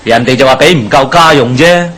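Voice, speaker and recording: A man acts out a nosy, teasing village boss in a Cantonese radio play from Hong Kong. His voice is husky and mid-pitched, with quick exclamations of interest. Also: fairly bright tone, natural voice. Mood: curious, teasing. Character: talkative, inquisitive village shop boss. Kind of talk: radio drama acting.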